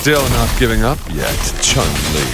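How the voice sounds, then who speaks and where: A slow, low-pitched villain from a video game. He sounds hostile and taunting, threatening with a sneer. Loud music runs behind him. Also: sing-song. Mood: menacing taunts. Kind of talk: dramatic video game voice acting.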